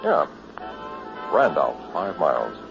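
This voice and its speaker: A deep, gravelly new husband, breezy and sure of himself, swinging freely up and down as he teases and reassures. It is old-time radio drama, dulled by age, with music underneath. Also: very animated, takes it slowly, exaggerated voice. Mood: breezy, reassuring. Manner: teasing, reassuring. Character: breezy, confident new husband driving his bride. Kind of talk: early 1960s radio drama acting. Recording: muffled vintage radio recording, music behind.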